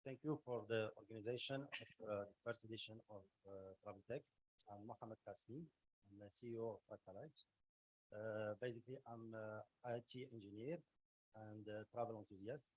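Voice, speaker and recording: A man's voice: lowish voice, fairly even intonation, moderate pace, husky, rough recording. A man executive introducing himself on a panel, hesitant with many fillers. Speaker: company CEO.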